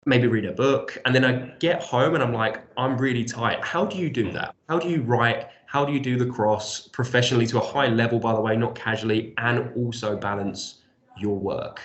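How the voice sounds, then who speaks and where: A man's voice: medium pitch, moderate pace, highs cut off. A man hosting an interview podcast, speaking with a cold and rambling a little, with many fillers and repeated words. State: has a cold. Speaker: podcast host. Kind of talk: casual interview chat.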